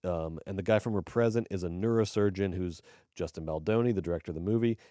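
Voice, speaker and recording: A man co-hosting a movie podcast, reviewing films in casual chat, rambling and swearing with animated emphasis. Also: deep voice, fast talker, no room sound, clean studio sound. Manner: rambling and profane. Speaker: podcast co-host.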